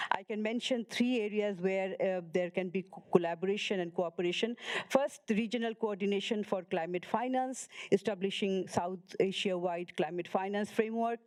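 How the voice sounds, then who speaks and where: A woman panelist from Bangladesh giving a conference talk, halting, with frequent fillers and restarts. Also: medium pitch, a little mellow.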